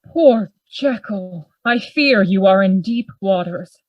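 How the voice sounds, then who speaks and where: A woman playing a grave, worried gentleman lawyer in a Gothic radio play, formal and earnest in manner. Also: mid-range voice, lively intonation, slow pace, dry recording, lo-fi sound. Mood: troubled, resolute.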